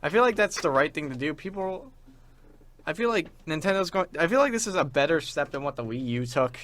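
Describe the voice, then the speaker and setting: Young dude chatting games on a show, kinda halting, repeats himself, voice bounces up and down a lot. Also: male voice, fairly high pitch, animated, fairly bright tone. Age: young adult. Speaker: college radio show co-host. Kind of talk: casual chat about video games.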